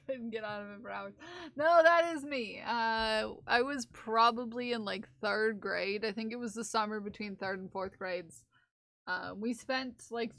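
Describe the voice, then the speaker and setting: A woman's voice: medium pitch, gravelly, a bit bright. Giggly, rambling young woman streamer. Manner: rambling, giggly, slips into accents and sings. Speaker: livestreamer knitting for charity. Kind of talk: casual live-stream chat.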